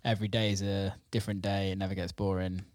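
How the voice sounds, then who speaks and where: A man's voice: sits low, flat delivery, quick pace, dry recording, studio quality. A man hosting an interview show, chatting in an informal, slangy way. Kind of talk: casual conversational interview.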